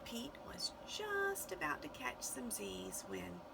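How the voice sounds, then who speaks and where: Female storybook reader: unhurried, expressive, some vocal fry, noticeable background noise. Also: medium pitch. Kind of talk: expressive children's read-aloud narration.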